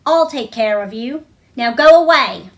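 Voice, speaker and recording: A woman's voice: on the high side, animated, measured pace. A woman voicing a spoiled, sickly young boy from a storybook, haughty and commanding. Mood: haughty, demanding.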